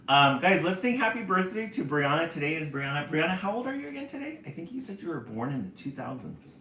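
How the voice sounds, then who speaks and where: A man's voice: a little high, sing-song, fast talker, bright, forceful tone, slight background noise. A man in his forties hosting a casual live stream, chatting and reading out viewer comments. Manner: chatty, reads viewer comments aloud, laughs often. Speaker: livestream host. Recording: roomy echo.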